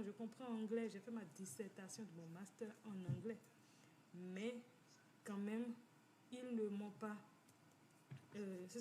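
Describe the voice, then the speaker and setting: Woman, halting delivery. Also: medium pitch, darkish tone, a little hiss or hum. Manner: repetitive, halting with many restarts. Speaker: community finance speaker. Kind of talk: personal testimony talk. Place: living in the United States.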